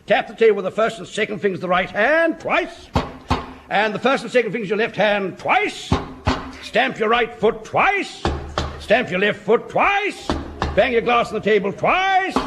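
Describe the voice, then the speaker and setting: A very high, swooping voice of a hearty old officer, upper-class English and boozily jovial. He speaks slowly and with relish, as in a vintage radio sitcom, on a noisy old recording. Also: sing-song, exaggerated voice. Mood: tipsy relish. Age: older. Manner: chortling, hearty, instructing with relish. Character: jovial, boozy old British army officer.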